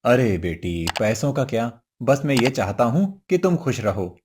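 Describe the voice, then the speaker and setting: A woman voicing a worried, humble older father who talks in a pleading, apologetic way, her voice lowered far below her own. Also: very animated, unhurried, background noise, full character voice. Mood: gentle.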